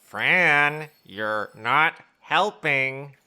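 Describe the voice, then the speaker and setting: A slightly low, forceful voice from a woman for a pompous second in command. This copy aide quotes rules slowly and dramatically in a comic sci-fi audio drama. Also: lively intonation, clean tone, exaggerated voice. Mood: officious. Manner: pompous, rule-quoting.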